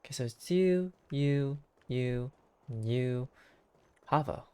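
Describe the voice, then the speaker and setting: A man's voice: medium pitch, takes it slowly, darkish tone, clean tone. A man streaming a game with casual, joking commentary, rambling playfully as he reacts. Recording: game music behind. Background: game music.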